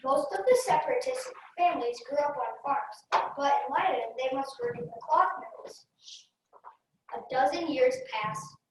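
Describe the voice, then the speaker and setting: A woman reading scripted narration aloud for a readers theater play. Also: sits high. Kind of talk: scripted read-aloud narration with acted dialogue. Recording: echoey room, distant mic, faint noise.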